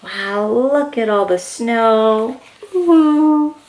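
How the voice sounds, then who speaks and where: A woman reading a picture book aloud to young children as a host, with excited, encouraging exclamations. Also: on the high side, extremely slow, rough recording.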